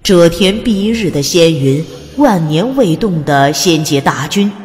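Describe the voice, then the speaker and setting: A woman's voice: lowish voice, lively intonation, unhurried, a little mellow. A woman reading scripted narration of a fantasy audiobook in a dramatic storytelling style. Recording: long hall reverb.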